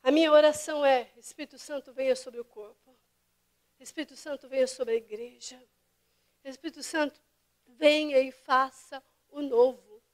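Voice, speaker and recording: A woman pastor delivering a fervent sermon to her church, dropping to hushed whispers and rising to impassioned cries. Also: sits high, steady tone, moderate pace, long pauses. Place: church service.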